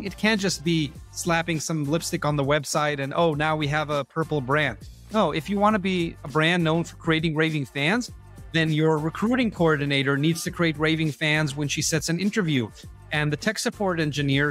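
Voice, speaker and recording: A man's voice: fairly high pitch, brisk, fairly bright tone, soft background music. Israeli man telling his career story. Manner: candid storytelling with self-deprecating asides. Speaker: guest, marketing executive. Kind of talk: podcast interview.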